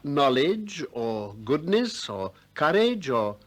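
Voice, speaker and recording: An actor playing a shrewd, probing Athenian philosopher in a 1960s radio drama, deliberate and courteous with polite irony. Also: male voice, on the high side, big pitch swings. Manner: deliberate, politely ironic, questioning.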